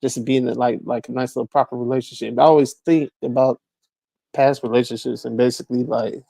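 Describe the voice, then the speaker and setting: A man in his mid-forties in a casual chat, halting and repeating words, full of "like". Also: medium pitch, flat delivery, vocal fry, dark tone, no echo. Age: 40s.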